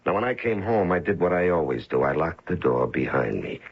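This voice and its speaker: Deep, gravelly, menacing hitman. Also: very animated, brisk, exaggerated voice. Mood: menacing, accusing. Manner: controlled, interrogating, softly coaxing and menacing. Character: cold, menacing professional hitman confronting his betraying girlfriend. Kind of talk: old-time radio drama acting. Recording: muffled old radio broadcast recording.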